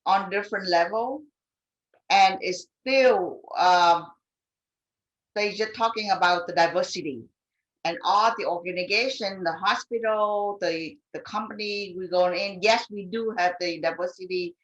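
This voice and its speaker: A woman who speaks English as a second language, talking earnestly and haltingly in a panel discussion. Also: mid-range voice, highs cut off. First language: not English. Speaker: immigrant and refugee advocate on a panel.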